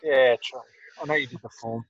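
A man's voice: mid-range voice, fairly even intonation, brisk, a little hiss or hum. A man co-hosting a casual podcast, dry and teasing, with a mild grumble. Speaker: podcast co-host. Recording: dry, dull lo-fi sound.

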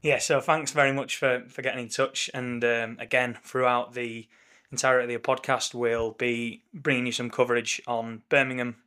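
A man with a croaky voice co-hosting a casual football podcast over a remote call, chatty and wry. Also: mid-range voice, level tone, normal speed, sharp tone. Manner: chatty, wry, leads the talk. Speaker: podcast co-host. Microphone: remote call recording.

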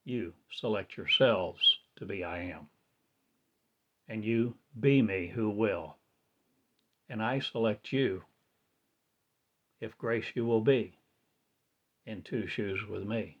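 A man with a lowish voice intones a grandiose, repetitive monologue in a trance-like chant. He speaks very slowly, drawing out each phrase. The recording sounds dull and lo-fi. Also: a bit bright. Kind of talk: slow incantatory spoken-word monologue.

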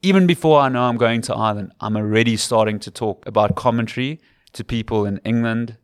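A man's voice: medium pitch, fast talker, clear, resonant tone, dry recording. A man in his thirties with a Cape Town South African accent, telling his story earnestly as an interview guest, repeating words for emphasis. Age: 30s. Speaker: rugby commentator, podcast guest.

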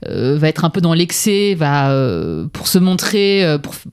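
A woman's voice: deep voice, sing-song, smooth. A woman, a film critic on a podcast, giving frank, opinionated takes in casual talk. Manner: frank, opinionated, quick to laugh.

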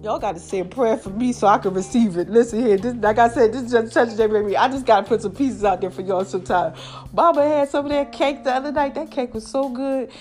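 A woman with a slightly raspy voice, chatting casually and preaching to her followers, calling herself Mama. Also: on the high side, quick pace, faint music behind. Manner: animated, calls herself Mama, addresses viewers as y'all. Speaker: online commentator.

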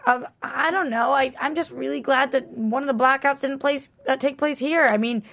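A woman's voice: high-pitched, moderate pace, creaky, a bit bright. A woman co-hosting a casual TV recap chat, chatty and full of questions, laughing often. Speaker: co-host.

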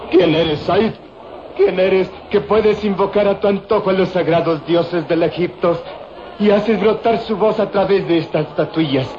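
Male actor as stubborn old usurer, high-pitched, agitated and exclaiming, melodramatic radio serial, muffled noisy recording. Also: normal speed, natural voice. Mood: terrified awe. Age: older. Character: greedy, fearful, stubborn old male moneylender and relic dealer. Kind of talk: old-fashioned radio drama acting.

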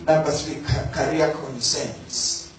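Male preacher, measured emphatic delivery; distant mic in a very reverberant room. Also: a little high, steady tone. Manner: slow, emphatic, repeats key words. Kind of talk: sermon. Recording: very echoey room, voice from afar, faint music.

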